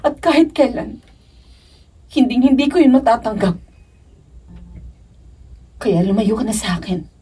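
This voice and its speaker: A woman's voice: a little high, expressive, unhurried, long pauses, warm tone, breathy edge, far from the mic. An actress playing a heartbroken young woman in a melodramatic Filipino radio drama, pushing her lover away emphatically. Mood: pained rejection.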